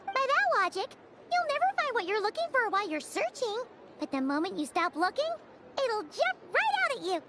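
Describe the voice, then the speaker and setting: Tiny game fairy sidekick: squeaky-high, cute, chatty, very animated delivery. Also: female voice, fairly quick, heavy background noise. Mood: chatty, upbeat. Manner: perky, chatty, refers to self in third person. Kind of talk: animated video game voice acting.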